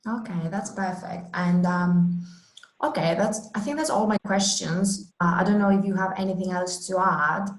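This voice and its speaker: Lower-voiced woman interviewer in an echoey room. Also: normal speed. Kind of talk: informal telephone interview. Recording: roomy, echoing interview recording.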